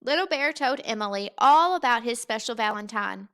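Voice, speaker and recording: A woman reading a children's story aloud in an expressive, unhurried, deliberate way. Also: on the high side, clear, resonant tone. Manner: unhurried, expressive, deliberate phrasing for young listeners. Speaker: teacher reading a picture book aloud.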